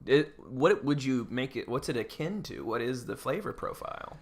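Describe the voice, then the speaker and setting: Man, twenties, medium pitch, casual comedic chat, playful podcast co-host. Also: big pitch swings, normal speed. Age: 20s. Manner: jokey, playful.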